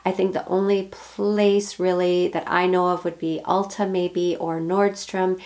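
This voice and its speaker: Hoarse woman, forties, chatty upbeat beauty vlogger, gravelly low voice, talking to a desk camera at home. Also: level tone, a little slow, darkish tone. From Canada. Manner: chatty and upbeat, with frequent ums. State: hoarse from the flu. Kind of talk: casual unboxing chat to camera. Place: home beauty room with shelves behind. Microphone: camera at desk distance, head and shoulders framing.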